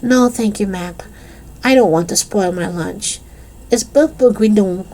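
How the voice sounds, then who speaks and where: A woman narrator voicing an excitable, easily wounded female schoolteacher in an audiobook, theatrical in manner. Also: medium pitch, measured pace, voice pushed up, close to an everyday voice. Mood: wounded, flustered. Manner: declaiming, gushing.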